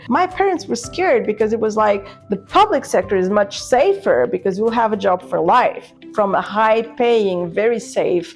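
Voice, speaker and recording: A woman in her forties from Portugal, speaking fluent English as a second language and telling a personal story candidly, acting out remembered conversations. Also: medium pitch. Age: 40s. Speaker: business owner telling their career story.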